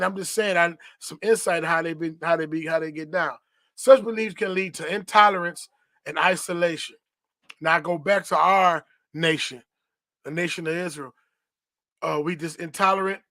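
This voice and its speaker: A man's voice: on the high side, a bit bright, no echo. A man hosting a religious podcast, teaching in a preachy, repetitive way, tagging points with "right?" and reading definitions aloud. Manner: reads dictionary definitions aloud. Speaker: podcast host.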